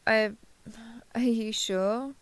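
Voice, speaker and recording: A woman's voice: on the high side, drawn-out words, clear, resonant tone, no echo. A woman playing a kindhearted, awkward young woman who gets easily flustered, speaking hesitantly and earnestly in an intimate roleplay audio drama.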